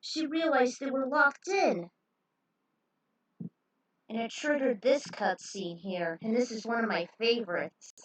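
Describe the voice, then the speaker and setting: A woman's voice: a little high, unhurried, long pauses, a bit bright, low-quality recording. A woman reading a story aloud and adding casual commentary of her own. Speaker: narrator of a fan fiction reading.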